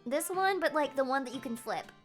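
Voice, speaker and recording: Chatty high-voiced woman streamer. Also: expressive, faint music behind. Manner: chatty and rambling, quick laughs. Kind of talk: casual live-stream chat, reading chat questions aloud.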